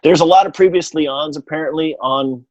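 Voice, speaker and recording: A man chatting casually as a podcast guest and telling anecdotes in a halting, wry way, often correcting himself. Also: fairly high pitch, a little mellow, dry recording, muffled recording. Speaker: podcast guest, audio theatre producer.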